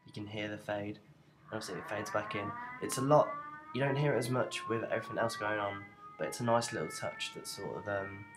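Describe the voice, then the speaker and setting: A young adult man giving an informal, unscripted software tutorial in a hesitant, rambling way, as a presenter walking through each step. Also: fairly low pitch, a little fast, music in the background, poor audio. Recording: noticeable background noise.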